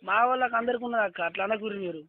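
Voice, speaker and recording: A man's voice: high-pitched, rapid-fire. A man with a rural Telangana Telugu accent calling customer care, pleading insistently and repetitively in informal, rustic speech over the phone. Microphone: telephone line. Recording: dull lo-fi phone call.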